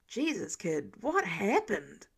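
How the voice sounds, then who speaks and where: A woman's voice: medium pitch, huge pitch swings, slow, creaky, breathy edge, full character voice. A woman voicing a friendly, concerned man with a blunt, casual manner in an audiobook.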